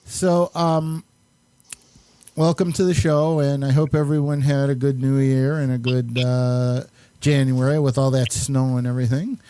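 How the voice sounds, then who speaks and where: Mellow male show host, halting delivery. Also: medium pitch, little pitch movement. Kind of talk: casual chat, introductions.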